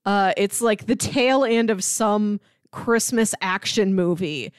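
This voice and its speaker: A woman's voice: medium pitch, takes it slowly, clean tone, no room sound, studio quality. A woman co-hosting a podcast, casually and wryly recapping a film. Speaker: podcast co-host.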